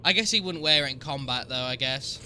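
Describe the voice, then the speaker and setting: A man's voice: medium pitch, fairly quick, sharp tone, a little hiss or hum. A man with a cold and a sniffly voice, chatting casually with his stream viewers while answering game trivia aloud. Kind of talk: casual live-stream commentary.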